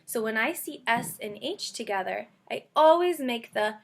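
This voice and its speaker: High-voiced woman teaching young children. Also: expressive, vocal fry. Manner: instructive, guiding with questions and repetition; stretches out and sounds out words slowly. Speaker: elementary school teacher. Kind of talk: read-aloud phonics lesson for young children.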